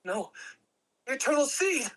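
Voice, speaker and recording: A man voicing a high, urgent heroic warrior. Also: big pitch swings, slow pace, fairly bright tone, distant mic, full character voice, poor audio. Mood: urgent, commanding. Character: heroic glowing-eyed male warrior, fierce and desperate. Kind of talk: dramatic script read-through.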